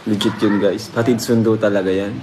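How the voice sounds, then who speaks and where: A man's voice: lowish voice, flat delivery, fairly quick, over loud music, low-quality recording. A man hosting a reaction video, commenting casually to his viewers and repeating phrases for emphasis. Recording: clear background noise.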